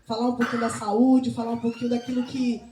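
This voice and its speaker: Female speaker, Bahian accent, informal instructive talk with frequent check-ins; mellow tone, echoey room with faint noise. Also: on the high side, little pitch movement, fast talker. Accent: Bahian Brazilian. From Salvador, Bahia, Brazil. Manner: didactic, often seeking agreement with tag questions. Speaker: nutrition speaker and missionary. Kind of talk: informal public talk with religious touches. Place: indoor talk to a women's group.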